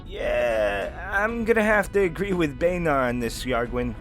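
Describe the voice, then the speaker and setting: Man playing an excitable high-pitched pirate crewmate. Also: a little slow. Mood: uneasy. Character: excitable, loyal male space pirate crewmate. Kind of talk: scripted audio drama. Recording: music and crowd sound behind voice.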